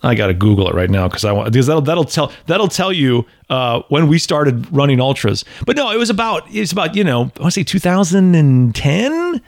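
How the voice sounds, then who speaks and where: Lively, joking man in his forties. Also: a little high, a little fast, hardly any pauses, no echo, clean studio sound. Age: 40s. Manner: animated, joking, restarts and repeats words. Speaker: podcast host. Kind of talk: casual chat.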